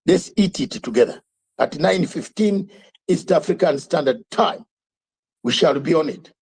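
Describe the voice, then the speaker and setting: High, husky older man preaching politics. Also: animated, a little slow, darkish tone. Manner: emphatic, repetitive, rhetorical questions. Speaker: host of an online live talk show. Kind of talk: fiery live commentary.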